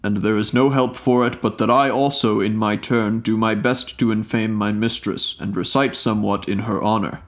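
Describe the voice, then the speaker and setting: A man's voice: lowish voice, fairly even intonation, moderate pace, hardly any pauses, close to an everyday voice. A man voicing a lovesick male ifrit spirit, speaking earnestly and formally in a storybook reading. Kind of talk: storybook reading of an old tale.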